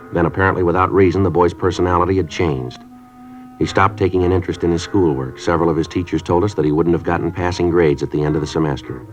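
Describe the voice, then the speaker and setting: An actor playing a stern middle-aged police sergeant who narrates in a clipped, matter-of-fact way. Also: male voice, sits low, close to an everyday voice. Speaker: detective narrator. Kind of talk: 1950s radio acting. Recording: dull old radio recording with music.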